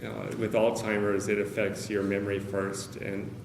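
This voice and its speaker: A man speaking candidly to a meeting of caregivers, hesitant, with many pauses and fillers. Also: on the low side, completely flat delivery, gravelly. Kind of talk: personal talk to a small group. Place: meeting room with a group of about 45 people. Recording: roomy, noisy meeting-room recording.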